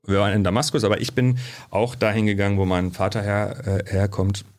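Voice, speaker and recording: Low-voiced chatty German man. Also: flat delivery, fairly quick, darkish tone. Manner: chatty storyteller, frequent fillers. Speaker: guest, sociologist. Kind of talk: relaxed interview conversation. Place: studio.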